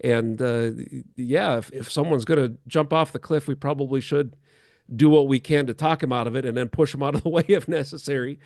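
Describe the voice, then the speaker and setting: A man with a mid-range voice hosts a casual, unscripted chat. He talks in a halting way with frequent pauses. The sound is close and dry, with hardly any room in it. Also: mid-range voice, a little mellow, dry recording. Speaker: host of a church chat show.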